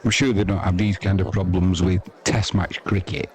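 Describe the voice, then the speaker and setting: A man's voice: low voice, steady tone, normal speed. A man doing casual, chatty live cricket commentary and talking to viewers, with dry, informal asides. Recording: crowd noise behind the voice. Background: sports crowd.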